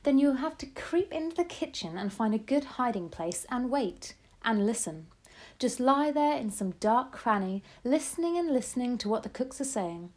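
Lady doing a caring old granny, emphatic and fussing, pitch jumps around. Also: big pitch swings, moderate pace. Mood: urgent, caring. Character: elderly grandmother, loving and resourceful.

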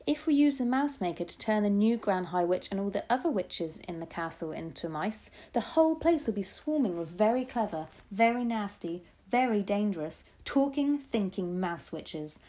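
A woman's voice: mid-range voice, normal speed, few pauses, natural voice. A woman voicing an eager, clever and slightly anxious young boy turned mouse in a storybook reading. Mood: worried, earnest. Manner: excitable, earnest exclamations. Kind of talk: storybook read-aloud.

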